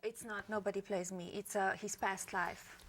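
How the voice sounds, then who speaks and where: A woman speaks with a gravelly, creaky edge. Her answers are soft-spoken and brief, as fits a guest in a TV interview. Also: medium pitch, level tone, normal speed, a crowd in the background. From Slovenia.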